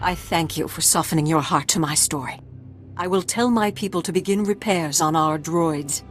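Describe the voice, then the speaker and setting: A woman's voice: deep voice, moderate pace, over music, lightly acted. An actress playing a proud, aggrieved refugee leader in a video game, pleading formally and earnestly for her people. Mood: earnest appeal. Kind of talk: dramatic scripted video game dialogue.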